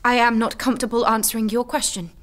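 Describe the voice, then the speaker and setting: A woman's voice: fairly high pitch, steady tone, fast, natural voice. A woman acting in an audio drama as a devout, determined young warrior maiden, earnest and resolute. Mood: defiant.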